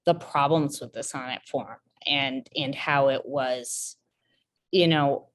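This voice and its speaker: A woman's voice: very deep voice, slow. A woman around forty, a poet on a panel, answering thoughtfully and searching for her words. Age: about 40. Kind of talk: reflective panel conversation.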